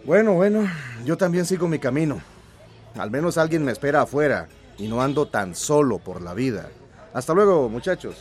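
A man's voice: fairly high pitch, big pitch swings, moderate pace, darkish tone, faint music behind. An actor playing a stubborn, dignified village man in a radio drama, with a Central American accent and an emphatic, formal manner. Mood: resigned.

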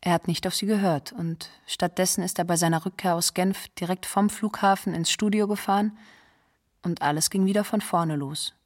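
A woman's voice: on the low side, steady tone, moderate pace, natural voice. An actress playing a resigned, sorrowful woman recalling a doomed singer in a radio play monologue. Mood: resigned, sad.

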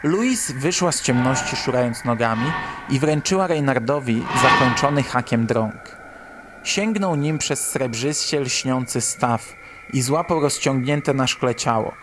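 A man's voice: medium pitch, unhurried, background noise. A man reading a scripted horror story as the narrator of a radio drama. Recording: voice under loud music. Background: loud music.

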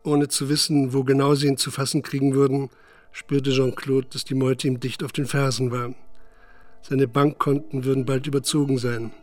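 A man narrating a radio play in a measured, matter-of-fact literary style. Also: medium pitch, flat delivery, no room sound, close to an everyday voice. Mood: measured, sober. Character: sober male narrator recounting a village scandal.

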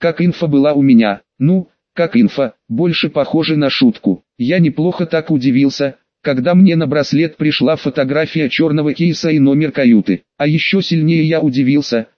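A male audiobook narrator with a slightly high voice reads first-person adventure fiction. The sound is very dry and a little dull, as if recorded close. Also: normal speed, hardly any pauses, no room sound.

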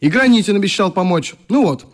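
An actor playing a friendly young youth leader in a radio drama, telling stories in a chatty, expressive way with a wistful streak. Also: male voice, high voice, a little fast. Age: young adult. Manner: chatty, expressive, acts out remembered exchanges. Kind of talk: radio drama, reminiscing dialogue.

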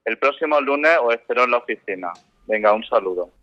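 An actor playing a courteous but firm bank manager in a radio drama, speaking formally and with measured politeness through a telephone filter. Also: male voice, mid-range voice, expressive. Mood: firm, regretful. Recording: muffled telephone-line sound.

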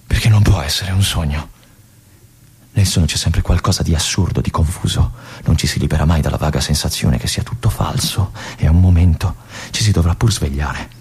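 An actor playing a restless young army lieutenant in a theatrical monologue, with an intense, halting delivery that moves between hushed and urgent. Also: male voice, sits low, slightly rough, heavily acted. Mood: dazed, bewildered. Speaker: stage actor performing a monologue.

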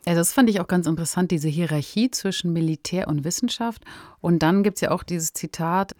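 A woman with a fairly low-pitched voice speaks as a podcast host. She is articulate and informal, presenting and commenting on radio drama. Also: fairly quick, a little mellow, no room sound, clean studio sound. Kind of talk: scripted credits and conversational discussion.

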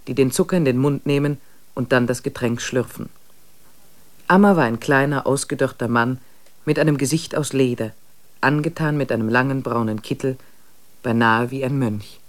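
An actor playing a traveller reading his diary, steady and even, mid-range voice. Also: medium pitch, lightly acted. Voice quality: calm, measured diary-style reading. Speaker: narrator of a travel account. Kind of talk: German radio play narration.

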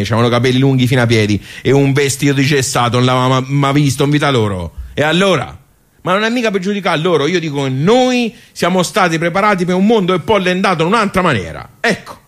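A man's voice: a little high, lightly acted. An actor playing a bitter, resigned 78-year-old working-class man with a Tuscan accent, rambling colloquially in a radio play. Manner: rambling, colloquial, swearing, world-weary. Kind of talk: radio theatre monologue, colloquial.

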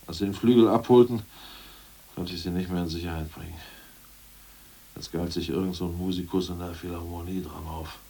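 A man playing a crude, scheming ousted party functionary in a radio play, boastful and plotting, muttering one moment and loud the next. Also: sits low, gravelly, recorded from a distance, a little hiss or hum. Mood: conspiratorial. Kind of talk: 1980s radio play acting.

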